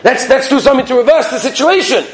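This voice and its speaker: A man's voice: extremely high pitch, fast, voice pushed up. A man voicing a wife in a told parable, exclaiming and questioning her husband. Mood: contrite, eager. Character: critical wife turned contrite and helpful.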